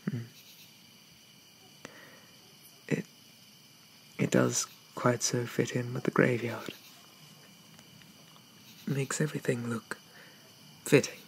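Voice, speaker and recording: Man playing a shy, whispering, creaky zombie gentleman. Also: mid-range voice, a little hiss or hum, low-quality recording. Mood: gentle, musing. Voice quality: breathy, often whispered. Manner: hesitant, apologetic, softly murmured, old-fashioned politeness. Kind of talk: whispered ASMR roleplay.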